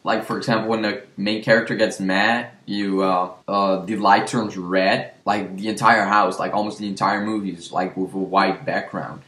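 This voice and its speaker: Young male video review host, casual and chatty, fairly deep, roomy home recording. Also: flat delivery, moderate pace, few pauses, a bit bright. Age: young adult. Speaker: horror movie review show host. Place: small room with shelves, indoors. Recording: roomy indoor echo.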